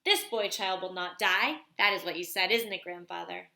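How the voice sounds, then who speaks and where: Storybook young boy played by a woman: eager, expressive, earnest, with a forceful bright edge. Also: lowish voice, normal speed, vocal fry, roomy sound. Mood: earnest, questioning. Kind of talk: storybook read aloud.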